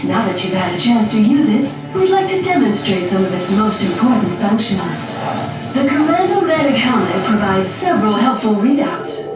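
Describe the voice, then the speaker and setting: A woman's voice: mid-range voice, sing-song, normal speed, close to an everyday voice. A woman voicing a polite corporate presenter, reading scripted instructions in a courteous sales manner. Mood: polished, instructive. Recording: echoey, distant game audio with music.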